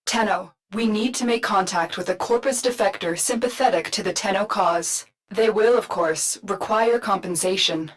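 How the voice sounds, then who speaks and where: An actress playing a calm, authoritative mission guide in a video game, measured, formal and instructive. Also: female voice, medium pitch, lightly acted, low-quality recording. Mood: calm command. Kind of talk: scripted video game character lines.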